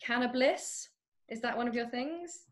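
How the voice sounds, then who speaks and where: A woman hosting a casual interview chat, playful and quick to laugh. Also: a little high. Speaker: host.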